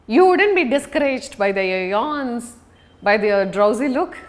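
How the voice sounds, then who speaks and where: A woman teaches like a speaking coach, in a fairly high voice that moves widely up and down. She is deliberate and emphatic, pausing to stress key words as she explains things to viewers. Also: lively intonation. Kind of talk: instructional lesson to viewers.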